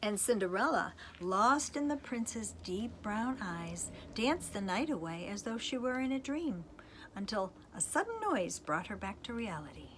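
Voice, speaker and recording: A woman's voice: mid-range voice, sing-song, measured pace, a bit bright, slight background noise, low-quality recording. A woman reading a storybook expressively to children, with a playful manner. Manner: playful asides to young listeners.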